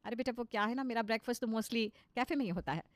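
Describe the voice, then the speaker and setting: A woman's voice: fairly high pitch, fast, no echo, close to an everyday voice. A woman voicing an anxious middle-aged mother-in-law who puts on modern airs, coaxing and flustered, in a comic cartoon story. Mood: affected, breezy. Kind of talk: cartoon comedy story dialogue.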